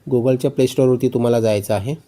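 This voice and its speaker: A man's voice: medium pitch, fairly even intonation, fast, dark tone. A man narrating a phone tutorial step by step, often repeating instructions and check phrases. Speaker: tutorial host.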